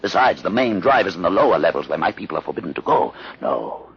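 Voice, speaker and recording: An actor playing a grave, learned leader of outcasts in a solemn, measured 1950s radio drama style. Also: male voice, low voice, sing-song, gravelly, exaggerated voice. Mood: measured, guarded. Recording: dull lo-fi old radio recording.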